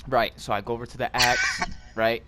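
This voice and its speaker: A man's voice: medium pitch, little pitch movement, normal speed, heavy background noise. A man with an American accent casually telling a story to friends, slangy and profane, acting out the dialogue as he goes. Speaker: gaming channel host telling a story.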